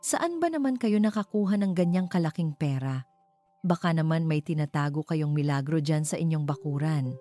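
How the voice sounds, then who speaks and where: A woman's voice: deep voice, expressive, normal speed, mellow, soft background music. Woman voicing a sneering Filipino village gossip. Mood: sneering, suspicious. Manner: taunting, insinuating questions.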